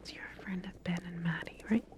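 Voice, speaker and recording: Female ASMR researcher role: hushed whisper, lowish voice, gentle clinical explanations with hesitant pauses. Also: steady tone. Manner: soft, hesitant, explanatory, reassuring clinical talk. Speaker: ASMR roleplayer playing a lab researcher. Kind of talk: whispered ASMR medical roleplay.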